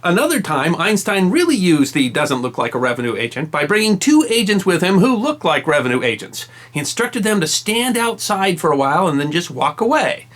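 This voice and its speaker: A man tells a history story from a script, his pitch sitting a little high. His voice rises and falls a lot as he leans on key words. He delivers dry, wry asides with emphasis. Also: big pitch swings, brisk, few pauses, a bit bright. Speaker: history channel host.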